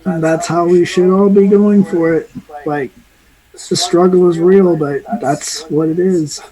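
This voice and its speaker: Casual man with a mellow, higher voice. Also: steady tone, unhurried, low-quality recording. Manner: loose, hesitant, leans on fillers. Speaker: podcast guest. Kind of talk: casual conversation.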